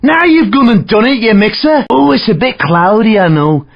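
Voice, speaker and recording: A woman's voice: a little high, animated, moderate pace, dull audio. A woman playing a chatty, cheeky working-class Londoner with a London accent, jokey and slangy, in a video game. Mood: cheeky, chirpy. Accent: London English. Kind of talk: scripted video game announcer lines.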